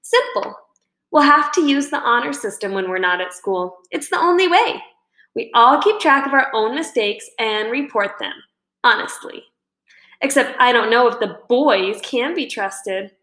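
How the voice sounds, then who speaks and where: A woman reading a children's storybook, voicing a proud, stubborn schoolgirl who talks in a sharp, scornful, bossy way. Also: fairly high pitch, expressive, measured pace. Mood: bossy, challenging. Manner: sharp, challenging. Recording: roomy indoor echo.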